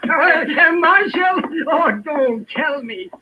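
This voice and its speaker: A man's voice: extremely high pitch, animated, takes it slowly, exaggerated voice. A man acting a wry, callous frontier doctor in an old-time radio western, with a hesitant delivery. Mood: wry amusement. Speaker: radio drama actor. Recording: dull, noisy old radio recording.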